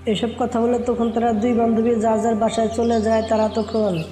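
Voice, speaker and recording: A woman's voice: fairly high pitch, flat delivery, normal speed, dark tone. A woman narrating a scripted cartoon story for children. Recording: roomy echo with music behind.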